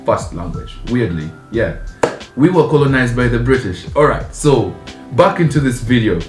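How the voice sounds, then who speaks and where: A young man from Uganda co-hosting a couple's channel, telling their story in a relaxed, chatty way and laughing often. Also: mid-range voice, moderate pace. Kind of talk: casual storytelling chat. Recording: echoey room with guitar music.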